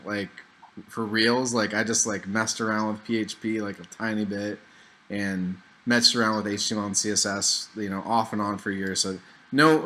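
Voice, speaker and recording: Upbeat American man hosting a video chat. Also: fairly low pitch. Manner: upbeat intro, then relaxed and rambling. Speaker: YouTube host and developer. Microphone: video call.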